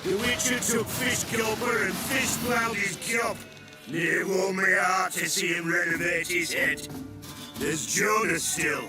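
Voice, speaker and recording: A man playing a rough, mocking villager in a video game, gossiping in a coarse and colourful way. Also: sits high, takes it slowly, over loud music. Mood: gleeful gossip. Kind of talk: video game character acting.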